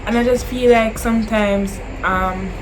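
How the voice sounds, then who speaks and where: A woman's voice: a little high, fairly even intonation, unhurried, noisy background. Chatty young Jamaican woman vlogging. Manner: chatty, drifts into Jamaican Creole phrasing, repeats herself. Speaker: YouTuber answering viewer questions. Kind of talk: casual Q&A chat to camera.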